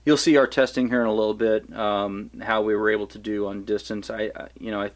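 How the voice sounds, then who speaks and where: Low-voiced man casually reviewing gear. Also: brisk. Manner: relaxed, rambling with many fillers and restarts.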